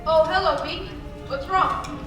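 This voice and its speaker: A woman's voice: very high-pitched, slow. A young woman playing an earnest small-town husband in an old-fashioned radio play, with a folksy, emphatic delivery. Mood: kindly. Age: young adult. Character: earnest small-town husband and loan man, hot-tempered under strain. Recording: echoey live stage, distant mic, music behind.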